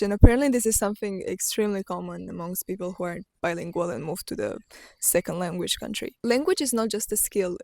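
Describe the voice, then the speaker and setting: A woman's voice: medium pitch, a little mellow, no echo. Chatty young woman from Romania. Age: young adult. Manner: candid and chatty, laughs often, fillers like "like" and "literally". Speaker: vlogger. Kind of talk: casual reflective monologue to camera.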